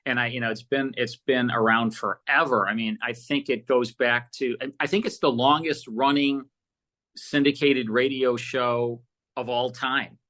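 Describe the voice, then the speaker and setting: A man's voice: mid-range voice, fairly even intonation, moderate pace, a bit bright. An American man chatting casually as an interview guest, enthusiastic and often repeating his words as he goes. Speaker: podcast guest, audio producer.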